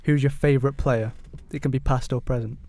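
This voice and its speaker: A man's voice: mid-range voice, fairly even intonation, brisk, dark tone, dry recording. A man hosting a casual football podcast, easygoing and a little hesitant as he moves the chat along. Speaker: podcast host.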